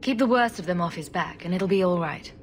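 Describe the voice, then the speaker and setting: An actress playing a calm, diplomatic woman hero, reassuring and direct, in a video game cutscene. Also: a little fast, natural voice. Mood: measured, concerned. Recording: loud ambient rumble behind the voice.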